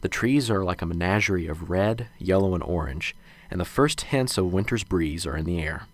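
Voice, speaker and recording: A man's voice: deep voice, normal speed. A man narrating a radio drama, reading scripted scene-setting passages. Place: close, dry recording.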